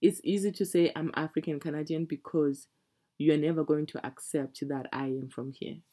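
Low, mellow-voiced woman from Zimbabwe. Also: fairly even intonation, moderate pace. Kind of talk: casual conversation.

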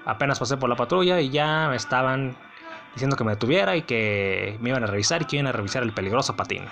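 A man's voice: medium pitch, expressive, quick pace, creaky, a bit bright, faint music behind. A man with a Mexican accent hosting a casual solo podcast, telling a personal story in a rambling, sardonic, blunt way. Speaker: podcast host.

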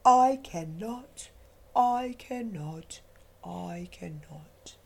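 A woman's voice: lowish voice, lively intonation, very slow, gravelly, darkish tone, pitched below the performer's own voice, heavily acted. A woman voicing a tired, rusty old male engine in a storybook reading, sighing and whispering. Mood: weary.

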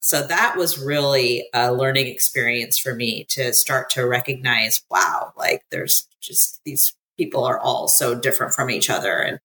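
A woman's voice: rumbling low, unhurried, hardly any pauses, pushed and bright, rough recording. An American woman from the United States talking as an interview guest in a chatty way, with many fillers and easy laughs. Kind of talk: casual interview conversation.

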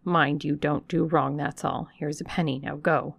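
A woman voicing a blunt, suspicious old female servant who speaks in a broad with a gruff, distrustful manner. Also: low voice, fairly even intonation, normal speed, no echo, studio quality. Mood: suspicious, stern. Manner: gruff, distrustful, plain-spoken.

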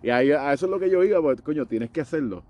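A man's voice: a little high, lively intonation, rapid-fire, a little mellow, a little hiss or hum. A man with a Puerto Rican accent talking in a casual debate, emphatic, mixing English words and swearing into his Spanish.

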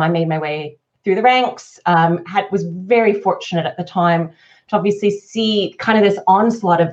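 Canadian woman on a lo-fi webinar line. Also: mid-range voice. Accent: Canadian, slightly softened by years in the UK. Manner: fluent, animated, talks in long run-on explanations. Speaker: guest, marketing consultant. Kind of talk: conversational webinar interview. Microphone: video call. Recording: lo-fi webinar audio.

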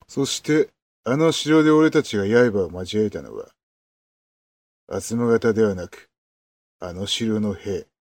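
Man acting a rough, low, mellow-voiced swaggering fighter. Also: lively intonation, takes it slowly, pauses often, no room sound. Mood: accusing. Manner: coarse, casual, swaggering speech. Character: rough, cocky low-born fighter, loyal retainer. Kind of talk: Japanese period voice drama acting.

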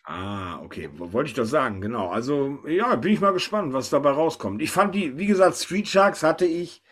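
A man's voice: a little high, expressive, moderate pace. A man hosting a podcast, chatting casually and informally about pop culture with his co-hosts. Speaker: podcast host.

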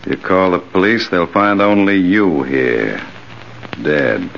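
An actor playing a cold, menacing intruder with a gun, making slow, controlled threats in 1940s radio style. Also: male voice, deep voice, big pitch swings, creaky, full character voice. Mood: cold menace. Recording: muffled old radio with faint noise.

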